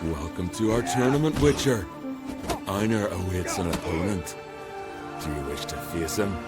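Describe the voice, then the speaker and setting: An actor playing a courteous, formal fight-ring announcer in a fantasy video game. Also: male voice, low voice, normal speed, lightly acted. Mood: formal, welcoming. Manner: polite, formal. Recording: music behind the voice. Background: game music.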